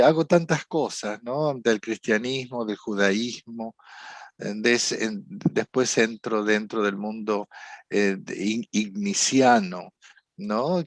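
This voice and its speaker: A man's voice: on the low side, no echo. A middle-aged man, speaking as an interview guest in a thoughtful, rambling way and often ending phrases with a questioning tag. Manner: thoughtful, rambling, frequent "¿no?" tags. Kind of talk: reflective conversational interview.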